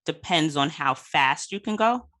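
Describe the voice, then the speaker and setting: Bright-toned podcast host, casually curious. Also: medium pitch, expressive, measured pace. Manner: brief attentive backchannels and curious follow-up questions. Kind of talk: casual chat interview.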